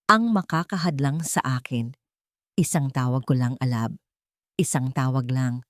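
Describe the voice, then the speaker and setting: Isang babaeng gumaganap bilang aroganteng lalaking mapang-angkin, mapagbanta at malamig magsalita sa isang kuwentong drama. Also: female voice, darkish tone, no echo, studio quality. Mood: seething threat. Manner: low, threatening, gloating. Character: menacing, arrogant, possessive powerful man, coldly threatening.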